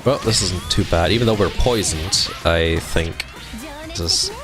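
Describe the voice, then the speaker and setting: A man's voice: sits low, a little slow. A man in his twenties chatting casually on a live game stream, reading chat aloud and chuckling now and then. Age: 20s. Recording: game music playing behind the voice.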